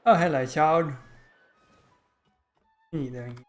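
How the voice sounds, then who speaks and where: A man's voice: a little high, unhurried, faint music behind. A man giving casual playthrough commentary as a game streamer.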